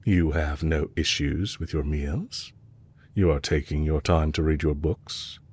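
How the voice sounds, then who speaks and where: A man playing a composed, courteous villain leader in an audio roleplay, speaking in a measured, formal way to a captive he is fond of. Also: extremely low pitch, fairly even intonation, darkish tone, breathy edge, full character voice. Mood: probing, courteous.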